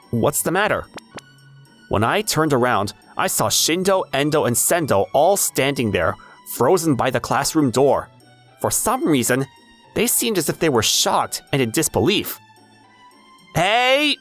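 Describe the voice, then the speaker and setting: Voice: mid-range voice, very animated, unhurried, pauses often, soft background music. A performer playing an airheaded, easygoing teenage schoolboy, narrating in a self-deprecating anime dub style. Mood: puzzled.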